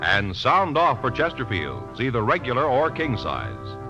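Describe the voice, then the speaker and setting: A man's voice: mid-range voice, huge pitch swings, unhurried. An American man doing scripted announcements in the style of 1950s radio. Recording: dull vintage radio broadcast, music behind. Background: music.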